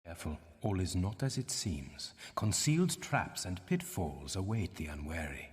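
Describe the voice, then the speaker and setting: An actor playing a stern, condescending older archaeologist mentor in a video game, speaking formally and instructively. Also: male voice, sits low, sing-song, normal speed. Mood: grave, warning. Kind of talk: video game cutscene and tutorial acting. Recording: long cavernous reverb.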